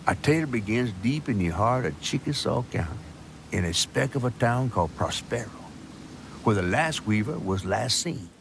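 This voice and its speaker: An actor playing a folksy Southern storyteller narrating a tale in a theatrical way for a game. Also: male voice, mid-range voice, lively intonation, normal speed, gravelly, noisy background. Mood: storytelling. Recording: loud music under the voice. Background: music.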